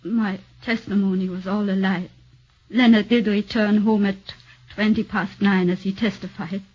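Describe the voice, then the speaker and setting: A woman's voice: mid-range voice, fairly even intonation, normal speed, a little mellow, natural voice. An actress playing a scheming, vengeful woman in 1940s radio drama, brazen, emphatic and melodramatic. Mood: broken, confessing. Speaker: radio actor. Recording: dull 1940s radio recording.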